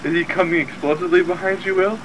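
A man's voice: high-pitched, steady tone, fast talker. A man chatting and telling stories casually while gaming, with a wry, mock-exasperated manner. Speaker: video game player. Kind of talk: casual gaming chat, storytelling. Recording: clear engine noise behind. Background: game vehicle engine noise.

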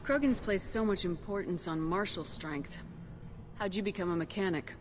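A woman's voice: fairly low pitch, normal speed, lightly acted. Woman as a composed, direct commander. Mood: composed, inquiring. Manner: direct, questioning. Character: composed, direct human female military commander. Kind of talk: video game dialogue. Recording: clear ambient background noise.

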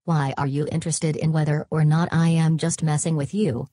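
A woman playing an old therapist chatbot who answers flatly and turns every question back on the asker. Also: deep voice, normal speed, slightly rough, no room sound, studio quality. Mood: deadpan. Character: literal-minded early therapist chatbot, female.

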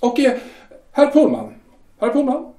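An actor playing a shipyard director who talks in a measured, hesitant way with drawn-out pauses. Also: male voice, extremely high pitch, huge pitch swings, warm tone, distant mic, full character voice. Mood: cool, probing. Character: smooth, veiled-threatening male shipyard director. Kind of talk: amateur audio drama acting. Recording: roomy echo, voice set back from mic.

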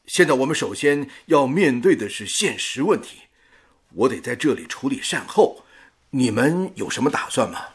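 An actor playing an obliging, down-to-earth riverboat captain in a radio drama, courteous and plain-spoken. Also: male voice, medium pitch, sing-song, moderate pace, breathy edge. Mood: apologetic. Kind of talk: Mandarin radio drama acting.

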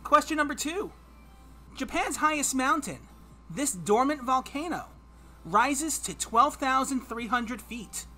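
Man, 30s, trivia quiz host, livestream from home, upbeat and chatty, bright tone. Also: very high-pitched, animated, measured pace, faint music behind. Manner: chatty and upbeat, reads clues aloud. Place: home streaming room.

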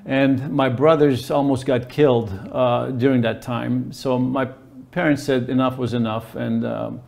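A man in his sixties from Lebanon, a hotel manager answering interview questions in a halting way with many fillers. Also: medium pitch, fairly even intonation, vocal fry, a little mellow. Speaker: hotel general manager, guest. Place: indoor office with plants.

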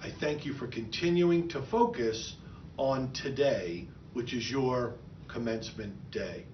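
A man's voice: medium pitch, a little hiss or hum. Earnest middle-aged man in an echoey room. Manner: earnest, encouraging, measured. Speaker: school superintendent. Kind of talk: prepared commencement address. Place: office with bookshelves, indoors. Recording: echoey, dull room sound from a distant mic.

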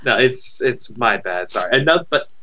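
A man co-hosting a casual podcast on a close headset mic, rambling and thinking out loud. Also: medium pitch, expressive, normal speed. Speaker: podcast co-host.

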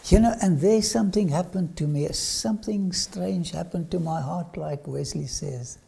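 An older man with an Afrikaans accent, a lecturer telling his own story to camera in a studio, swinging from loud phrases to whispers. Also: a little high, moderate pace, creaky, slightly rough. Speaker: archaeology lecturer. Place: studio with dark backdrop.

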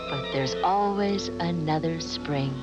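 A woman's voice: low-pitched, slow pace, loud music behind the voice, low-quality recording. A woman storyteller reading scripted, dramatic narration for a children's fairy tale.

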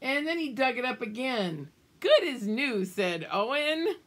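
An older woman reads a children's picture book aloud at an unhurried pace. Her voice is slightly husky and swings widely up and down, with big emphasis on key words and little asides to the children. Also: high-pitched, very animated, fairly bright tone. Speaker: children's storybook reader. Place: small room at home, indoors.

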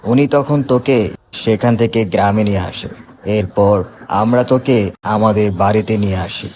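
A man's voice: fairly low pitch, normal speed, darkish tone, slight background noise, natural voice. A man voicing a concerned male village friend in a horror cartoon, speaking in a familiar, explanatory manner. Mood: explaining. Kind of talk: Bengali horror cartoon voice acting.

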